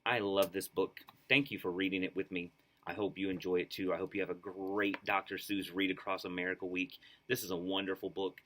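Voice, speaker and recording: A man's voice: deep voice, brisk, a little hiss or hum. A man reading a children's book aloud expressively, with enthusiastic casual asides. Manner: enthusiastic, animated. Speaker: storybook reader.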